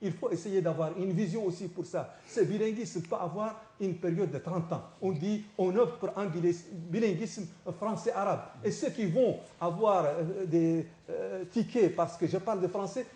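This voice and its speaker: A man's voice: sits high, mellow, echoey room. A man from Mauritania, a professor speaking as a guest in a televised panel debate, who keeps restarting his sentences as he argues. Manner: halting, many repeated restarts.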